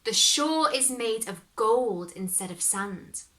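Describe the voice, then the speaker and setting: A woman's voice: on the high side, expressive, slow, pushed and bright, heavily acted. A woman voicing a cheerful young fairy-tale hero in a storybook reading, earnest and sure.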